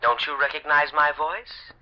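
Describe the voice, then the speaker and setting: An actor playing a mysterious, menacing caller through a telephone filter, deliberate and ominous, in 1940s radio mystery style. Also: male voice, fairly high pitch. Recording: muffled telephone voice on old radio.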